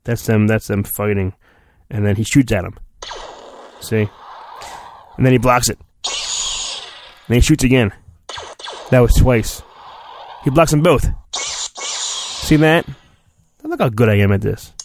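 A man giving a mock-dramatic storybook reading, theatrical in delivery, dropping into goofy, deadpan, sarcastic asides. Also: medium pitch, takes it slowly, pauses often, slight background noise. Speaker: podcast host.

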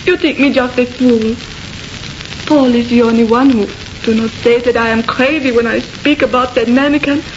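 An actress playing an anxious, jealous young French wife who speaks insistently in accented, broken English in a radio horror drama. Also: female voice, a little high, steady tone, normal speed, close to an everyday voice. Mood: fearful, insistent. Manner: earnest, insistent, non-native grammar. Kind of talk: old-time radio horror acting. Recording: muffled, noisy old radio recording.

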